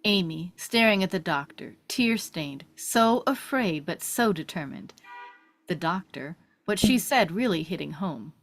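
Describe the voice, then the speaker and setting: A woman's voice: on the low side, expressive, unhurried. A woman giving scripted narration, reading out stage directions at a table read.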